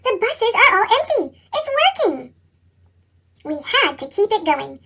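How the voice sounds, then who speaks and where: A reader with a squeaky, pitched-up chipmunk effect, narrating a children's picture book and acting out the dialogue expressively. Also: measured pace, full character voice. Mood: earnest, determined. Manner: expressive storytelling, acts out dialogue.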